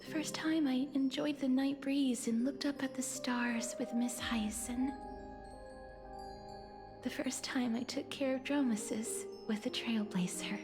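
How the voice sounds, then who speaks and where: Gentle young noblewoman, video game, high soft breathy voice, slow, tender, formal. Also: fairly even intonation. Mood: wistful, tender. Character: gentle, wistful, soft-spoken young noblewoman, quietly earnest. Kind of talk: video game voice acting, reflective monologue. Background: game music.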